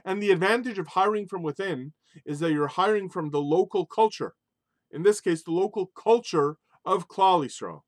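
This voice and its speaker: High-voiced man teaching a Torah lesson. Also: dry recording. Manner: animated, emphatic, rises sharply on key points; weaves Hebrew and Yiddish religious terms into English.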